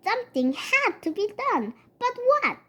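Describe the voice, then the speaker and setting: A child reading a children's picture book aloud in a halting way, stumbling over words and going back to repeat them. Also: extremely high pitch, sing-song, a bit bright.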